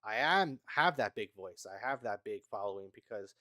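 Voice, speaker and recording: A young man as a podcast guest in casual chat, talking haltingly with many restarts. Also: on the low side, expressive, bright, forceful tone, no room sound.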